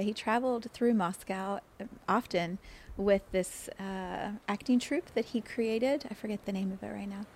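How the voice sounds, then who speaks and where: A woman in her fifties talking alone in an earnest, meandering way, with frequent fillers, restarts and soft laughs. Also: mid-range voice, creaky. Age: 50s. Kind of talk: reflective solo talk.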